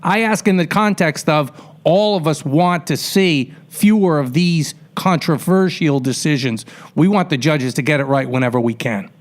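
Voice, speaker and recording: A man's voice: fairly high pitch, moderate pace, hardly any pauses. A man hosting a conversational sports radio interview, wordy and colloquial as he builds up to his questions. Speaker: radio show host, interviewer.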